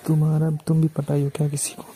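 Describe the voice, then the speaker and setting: A man's voice: on the high side, completely flat delivery, quick pace, mellow, a little hiss or hum. A man with a Bhojpuri accent chatting casually on a phone call in a cheeky, teasing way.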